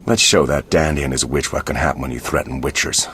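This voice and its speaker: Very deep, brash monster hunter. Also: male voice, quick pace, a bit bright, husky, full character voice. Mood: scornful, defiant. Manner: scornful, combative. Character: brash, defiant male monster hunter spoiling for fights. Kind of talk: video game voice acting.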